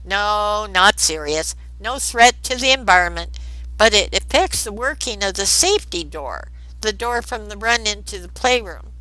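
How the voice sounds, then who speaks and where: A woman's voice: medium pitch, animated, normal speed, sharp tone, dry recording, pitched above the performer's own voice, rough recording. A woman voicing an accommodating, mildly worried male technician in an audiobook, speaking plainly and obligingly. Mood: concerned.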